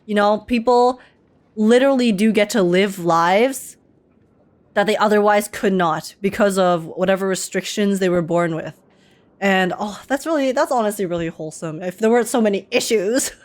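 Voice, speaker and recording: A woman's voice: mid-range voice, moderate pace, a little mellow. A woman streamer giving informal, slangy and exclamatory live reactions to a game. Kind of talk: casual live reaction commentary. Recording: game music behind the voice.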